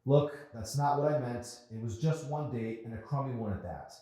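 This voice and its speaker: A man's voice: mid-range voice, normal speed, dark tone, voice pushed up, lightly acted. A man voicing an awkward, defensive, reluctant teenage boy in a novel reading. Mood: defensive, grudging. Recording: very echoey room.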